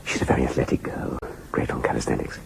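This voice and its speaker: An actor playing a genial, fawning middle-aged German photographer in a radio drama, chummy and ingratiating. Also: male voice, quick pace, a little hiss or hum, natural voice. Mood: admiring.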